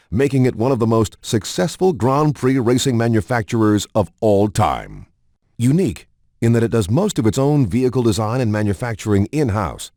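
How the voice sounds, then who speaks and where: A man reading scripted documentary-style narration for a video game in a measured, polished promotional manner. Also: medium pitch, dark tone, no echo, clean studio sound.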